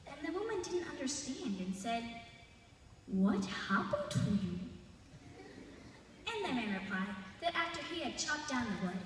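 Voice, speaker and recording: A young girl of about eleven tells a folk tale to a live audience in a high, theatrical voice. Her pitch moves freely and she leans on dramatic pauses. The sound is distant and washed in the long reverb of a large arena, with faint noise. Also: sing-song. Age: child, 11. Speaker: storyteller. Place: large indoor arena, live festival stage.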